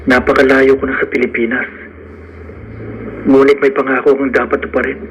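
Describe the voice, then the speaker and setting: A man's voice: mid-range voice, level tone, a little fast, a bit bright, lo-fi sound, a little hiss or hum, natural voice. A man playing a poor, hopeful and determined young man in love, in an earnest radio drama style. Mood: wistful, devoted. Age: young adult.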